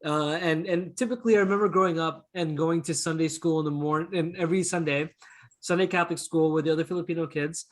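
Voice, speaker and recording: A man with a Canadian accent, chatting casually and telling stories as an interview guest, easygoing and quick to chuckle. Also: a little high, fairly even intonation. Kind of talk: casual conversational storytelling.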